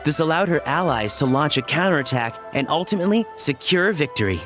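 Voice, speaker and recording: An actor playing a keen, courteous researcher who explains in a precise, scholarly storytelling manner in a video game. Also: male voice, fairly high pitch, expressive, a little fast, music in the background, natural voice. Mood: absorbed, explanatory. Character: keen, courteous male researcher of ancient ruins. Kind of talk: video game voice acting, scripted exposition.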